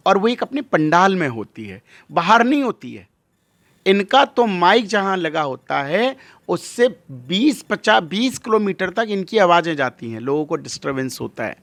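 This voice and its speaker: High-pitched, emphatic male religious leader. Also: fairly bright tone, no room sound. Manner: emphatic, rhetorical questions and repetition. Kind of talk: impassioned interview monologue.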